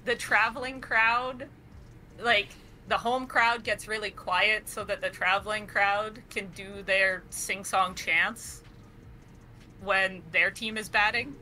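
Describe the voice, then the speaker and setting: A woman's voice: medium pitch, fairly even intonation, takes it slowly, bright, forceful tone, slight background noise, rough recording. A woman from Canada, co-hosting a podcast in casual chat, talking freely and laughing often. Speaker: podcast co-host.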